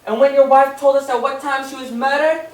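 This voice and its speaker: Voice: high-pitched, steady tone, far from the mic, lightly acted. Echoey, stern radio-play investigator played by an actor. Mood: accusing. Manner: deliberate, accusing, theatrical. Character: stern accusing investigator confronting a murderer. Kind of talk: old-time radio play acting.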